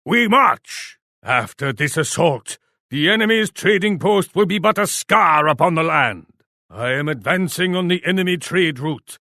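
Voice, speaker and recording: Gravelly, haughty warlord. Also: male voice, a little high, animated, unhurried, bright, forceful tone, no room sound, clean studio sound, heavily acted. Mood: commanding, rousing. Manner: imperious, formal, speaks of himself in third person. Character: proud, haughty Japanese warlord commanding his armies. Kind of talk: video game character voice lines.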